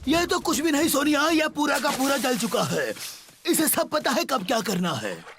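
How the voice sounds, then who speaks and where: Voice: high voice, sing-song, normal speed, gravelly, a bit bright, a little hiss or hum, heavily acted. A performer voicing a playful, mocking cartoon commentator in a slangy Hindi dub, with a sing-song delivery. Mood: teasing. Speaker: narrator. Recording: music behind the voice.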